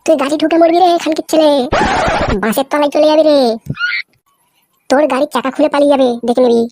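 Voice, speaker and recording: Woman as a high-pitched, scrappy, aggrieved cartoon lad. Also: quick pace, darkish tone, a little hiss or hum, natural voice. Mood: furious cursing. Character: poor dung-gathering lad, indignant, foul-mouthed, scrappy. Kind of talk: crude slapstick comedy cartoon dubbing.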